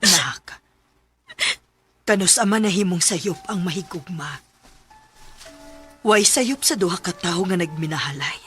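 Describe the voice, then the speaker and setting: A woman with a Cebuano Filipino accent playing a caring, wise mother in a radio drama, gentle and expressive. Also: lowish voice, a little slow, pauses often. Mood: gentle, reassuring. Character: caring, wise older mother counselling her widowed daughter. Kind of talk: Philippine radio drama acting. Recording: music bed under the voice.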